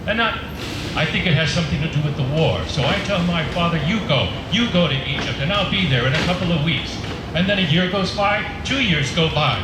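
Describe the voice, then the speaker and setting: A man acting a stage monologue as a displaced Syrian-Palestinian dancer, telling his story in halting, repeated short phrases. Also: a little high, lively intonation, very noisy, natural voice. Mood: tense recounting. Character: displaced young Syrian-Palestinian male dancer recounting war. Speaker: actor performing a monologue. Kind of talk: theatrical first-person testimonial monologue. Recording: echoey live performance space with music. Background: music.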